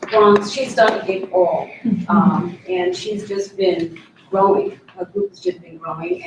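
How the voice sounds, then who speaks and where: Lively woman in an echoey room. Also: mid-range voice, creaky, slight background noise. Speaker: parent. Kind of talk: casual group introduction. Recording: echoey room, far camera mic.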